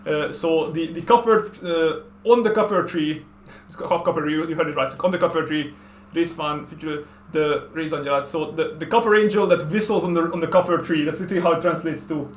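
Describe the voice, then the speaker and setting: A man's voice: on the high side, steady tone, brisk, roomy sound, a little hiss or hum. A man from Hungary with a Hungarian accent, chatting casually on a stream in a rambling way with many restarts. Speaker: streamer host.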